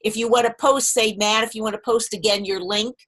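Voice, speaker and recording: A woman's voice: medium pitch, fairly even intonation, a little fast, a bit bright. A woman hosting a group video call, organizing and thanking people in a friendly way as she wraps up. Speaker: host.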